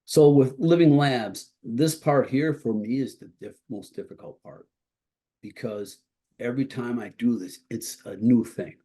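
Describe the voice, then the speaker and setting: A man giving an informal instructional presentation as a college instructor, explaining haltingly with a congested, slightly husky voice that is starting to give out. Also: medium pitch, mellow. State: congested, starting to lose his voice.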